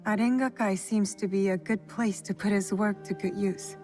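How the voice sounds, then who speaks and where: A woman's voice: mid-range voice, steady tone, normal speed, darkish tone, soft background music, close to an everyday voice. Guarded, wry young shinobi woman played by an actress. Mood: curious. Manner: cool, direct, restrained. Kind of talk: video game cutscene acting.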